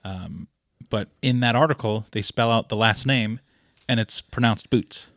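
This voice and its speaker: A man co-hosting a podcast, telling a rambling story in casual chat with deadpan jokes. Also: lowish voice, a little slow, dry recording, clean studio sound. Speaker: podcast co-host.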